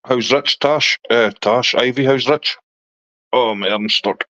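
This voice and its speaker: A man's voice: mid-range voice, slow pace, bright, forceful tone, dry recording, muffled recording. A middle-aged man from Scotland with a Scottish accent, chatting casually on a live stream and answering viewers' comments.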